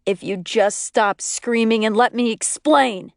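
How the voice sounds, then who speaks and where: A woman's voice: a little high, a little slow, creaky, no echo, studio quality. A woman voicing an exasperated young husband in an audiobook, pleading and emphatic. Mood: firm, pleading. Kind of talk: acted audiobook dialogue.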